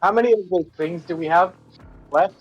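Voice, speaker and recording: A co-op player talks during a heist game in a blunt, offhand way, swearing casually. The voice sits at a middle pitch. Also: fairly quick, husky, dull audio, faint music behind. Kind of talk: casual gameplay chat.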